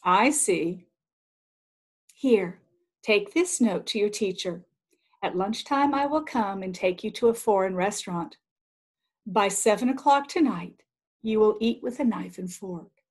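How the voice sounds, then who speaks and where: A woman's voice: medium pitch, measured pace, pauses often, slightly rough. A woman voicing a kindly old great uncle who instructs patiently in a read-aloud storybook. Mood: kindly, reassuring.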